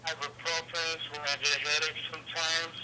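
A man's voice: a little high, flat delivery, normal speed, bright, forceful tone. A man in a casual phone conversation, puzzled and giving short answers over a speakerphone. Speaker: prank call recipient. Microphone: telephone, played on speaker. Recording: noisy phone call on speakerphone.

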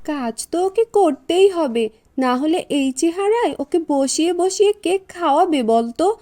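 A woman's voice: extremely high pitch, animated, measured pace, mellow. A woman voicing a spiteful, bossy young sister in a cartoon, scolding with scorn. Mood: scornful. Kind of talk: Bengali cartoon dubbing.

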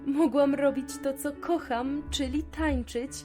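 A woman's voice: high-pitched, steady tone, music in the background. A woman playing a sad, wistful female flamingo star in a children's audio fairy tale, speaking in an earnest, emotive way. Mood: nostalgic. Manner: earnest, emotive, slow confiding delivery.

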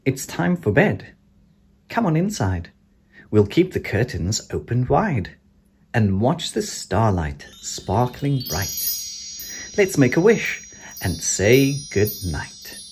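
A man reading a children's picture book aloud in an expressive, playful, measured way, as an animated storyteller. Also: mid-range voice, slightly rough. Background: sound effects, chimes, vehicle noise.

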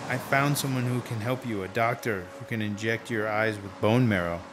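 A man's voice: lowish voice, moderate pace, over music, lightly acted. A man playing an earnest, persuasive friend in a radio drama, in a casual, hesitant, coaxing way.

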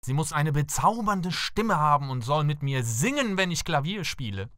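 Young fairy-tale prince, courteous perfectionist, very animated pitch, dry studio sound. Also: male voice, moderate pace, no room sound. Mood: earnest. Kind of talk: cartoon fairy tale voice acting.

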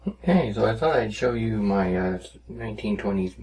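A man giving a casual, rambling, unhurried demonstration of a hobby project, talking as a maker. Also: on the low side, lively intonation, darkish tone, a little hiss or hum. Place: small cluttered indoor workshop.

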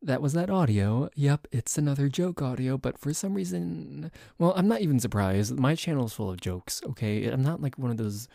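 Male audio creator, casual outro: mellow, dry close sound, self-deprecating and rambling. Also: medium pitch, expressive, normal speed, gravelly, few pauses, dry recording. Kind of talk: casual outro chat to listeners.

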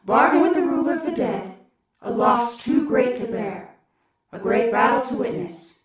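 A woman's voice: sits high, expressive, normal speed, a loud crowd. A woman playing a mystic oracle in an audio drama, solemnly reciting cryptic prophecy. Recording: distant, echoing, loud music. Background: loud music.

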